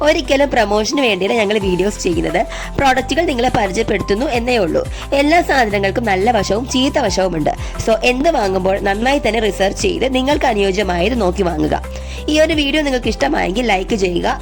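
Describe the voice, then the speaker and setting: Woman, product reviewer, scripted narration, medium pitch, music behind. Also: expressive, quick pace, creaky.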